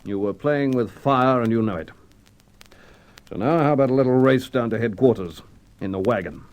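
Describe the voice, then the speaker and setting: An actor playing a shrewd police inspector in an old radio crime drama, brisk, probing and authoritative. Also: male voice, medium pitch, close to an everyday voice. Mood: triumphant, stern. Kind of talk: 1950s Australian radio crime drama acting.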